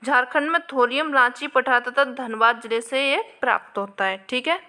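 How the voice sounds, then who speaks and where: A woman's voice: sits high, normal speed, sharp tone. A woman teacher working through past exam questions, explaining each answer and repeating key points for her students. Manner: addresses viewers with frequent "आपके". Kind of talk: exam question walkthrough lesson.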